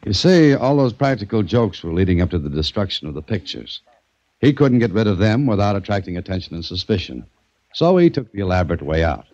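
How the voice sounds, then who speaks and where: An actor playing a calm, self-assured private detective in a 1940s radio drama, with a dry manner. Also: male voice, sits low, a little fast, no room sound. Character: cool private detective Dan Holliday. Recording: dull lo-fi 1940s radio recording.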